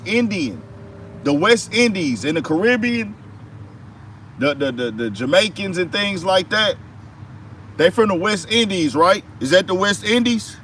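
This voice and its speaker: A man's voice: high-pitched, expressive, a little slow, a bit bright, a little hiss or hum. An American man delivering an emphatic political commentary monologue in slangy speech, firing off rhetorical questions. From United States. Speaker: commentary host.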